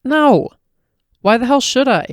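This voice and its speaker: Woman as defiant frightened girl, very animated pitch, dry close sound, blunt, foul-mouthed, fantasy audio story. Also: measured pace, no echo. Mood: defensive. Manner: blunt, sweary, exclamatory.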